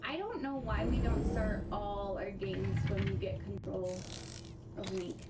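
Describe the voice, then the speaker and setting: A woman's voice: medium pitch, a little slow, distant mic, very noisy. A woman livestreaming a speedrun, chatting casually and joking with a laugh in her voice. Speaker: speedrunner streaming her run. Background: loud game music.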